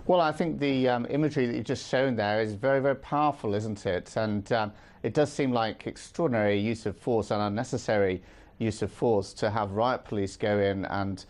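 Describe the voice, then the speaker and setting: A middle-aged man speaking as a guest in a live news interview, measured and earnest, with frequent ums. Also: on the low side, darkish tone. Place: studio with city view.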